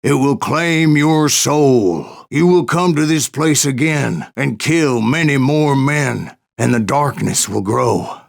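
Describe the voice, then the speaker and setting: Old bounty hunter, gravelly creaky voice, medium pitch, American Western drawl, folksy boastful storyteller, game narration. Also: male voice, slow pace, hardly any pauses. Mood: ominous. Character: grizzled old Wild West bounty hunter spinning tall tales. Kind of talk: in-character video game narration.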